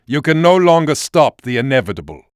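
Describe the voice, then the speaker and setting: A man's voice: medium pitch, very animated, brisk, dry recording, clean studio sound, close to an everyday voice. A man playing a menacing, gloating villain in a spy video game, speaking in a grandiose, theatrical manner.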